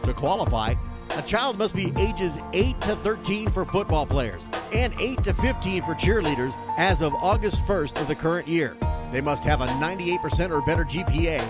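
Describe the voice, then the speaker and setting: A man's voice: on the high side, animated, normal speed. A man reading a scripted radio announcement as an announcer. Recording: produced spot over loud music bed. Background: loud music.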